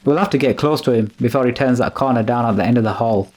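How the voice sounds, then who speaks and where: A man's voice: mid-range voice, quick pace, darkish tone, natural voice. A man playing a nervous, deferential manservant in a radio drama, with a fretful, complaining way of talking. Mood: anxious, hushed. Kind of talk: classic radio drama acting.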